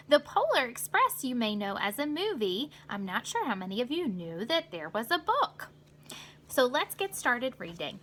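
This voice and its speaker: A woman reading a children's picture book aloud as a school counselor, with deliberate, expressive storyteller delivery, explaining things for young listeners. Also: high voice.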